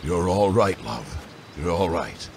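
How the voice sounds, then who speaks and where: A man's voice: low voice, sing-song, slow, gravelly, full character voice. An actor playing a middle-aged soldier father who is urgent and protective, in a fantasy audio drama. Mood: soothing. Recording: noisy battle ambience behind voice.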